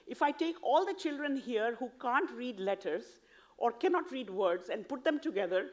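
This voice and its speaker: Earnest Indian woman giving a conference talk. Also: a little high. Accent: Indian English. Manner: earnest, persuasive, explanatory. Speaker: conference speaker. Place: conference hall.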